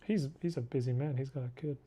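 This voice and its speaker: A young man born in Korea, an artist chatting casually with viewers while he draws on a live stream, self-deprecating and quick to laugh. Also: medium pitch, warm tone, husky. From born in Korea, raised abroad. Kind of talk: casual live-stream chat reading comments.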